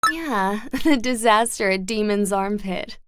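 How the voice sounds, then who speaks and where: An actress playing a singer who talks in a soft, placating, pleading way, torn between her husband and an old friend. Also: mid-range voice, a little slow, clear, resonant tone, no room sound, studio quality, lightly acted.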